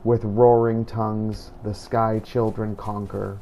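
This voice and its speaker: A man narrates a fantasy game journal in a solemn, measured way. His voice is mellow and stays very even, delivered slowly, with faint noise behind it. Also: lowish voice, creaky, poor audio. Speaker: player narrating a role-play playthrough. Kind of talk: scripted in-character journal narration.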